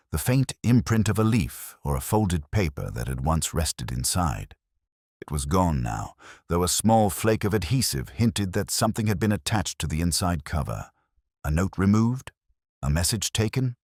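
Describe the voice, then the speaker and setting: A man narrating a scripted first-person detective story in a measured, brooding, literary manner. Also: very deep voice, darkish tone, no echo, clean studio sound.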